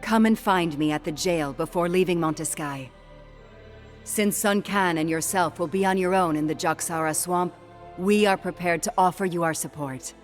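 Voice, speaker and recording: An actress playing a composed, authoritative leader of trackers in a fantasy game. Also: female voice, on the low side, normal speed, faint music behind, lightly acted. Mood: assured. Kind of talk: dramatic fantasy game acting.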